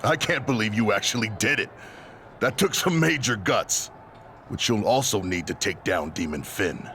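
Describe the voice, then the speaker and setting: A low-voiced, blunt wrestling rival. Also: male voice, lively intonation, moderate pace, pushed and bright, slight background noise. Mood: blunt, assured. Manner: terse, blunt. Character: gruff, scheming male wrestling rival, cool and blunt. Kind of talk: video game cutscene dialogue.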